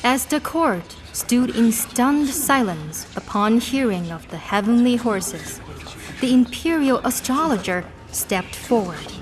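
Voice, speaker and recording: Higher-pitched woman narrator over loud music. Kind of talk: scripted dramatic storytelling narration.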